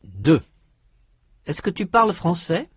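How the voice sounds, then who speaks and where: A man reading drill questions for a language course, in slow prompts with lively intonation. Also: a little high, breathy edge, no room sound, clean studio sound.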